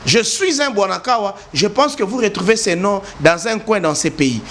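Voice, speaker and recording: A man's voice: high voice, expressive, normal speed, a bit bright. A man from eastern DR Congo with a Congolese French accent, delivering an impassioned, lecturing opinion address and repeating words for stress. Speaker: commentator.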